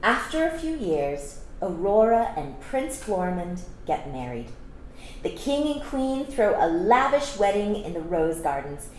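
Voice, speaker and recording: A woman reads a storybook aloud for children with expressive delivery and explanatory asides. Her voice sits at a middle pitch. The room is echoey, with faint noise behind her. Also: a little slow. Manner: expressive, explains to young listeners. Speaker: storytime reader.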